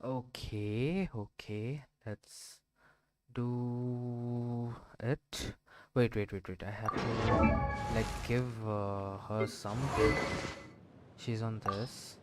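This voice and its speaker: The guy you would find on a small gaming stream late in a session, slowly mulling over his choices out loud with the soundtrack turned up. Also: medium pitch, steady tone. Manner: rambling, thinking aloud, hesitant pauses. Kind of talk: casual gameplay commentary. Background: loud game music.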